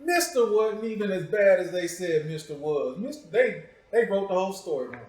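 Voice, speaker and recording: High-voiced American man hosting in echoey room. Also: lively intonation, normal speed, darkish tone. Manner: teasing, provocative, slangy. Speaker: show host interviewer. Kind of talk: casual, joking interview.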